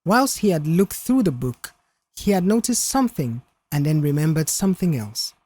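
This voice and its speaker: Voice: mid-range voice, very animated, moderate pace, warm tone, dry recording. An audiobook narrator reading a scripted story in a dramatic storytelling style.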